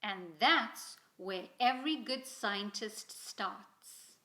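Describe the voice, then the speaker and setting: A woman reads a children's story aloud in an expressive, deliberate storytelling manner. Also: mid-range voice. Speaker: storybook reader. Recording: roomy, echoing indoor sound.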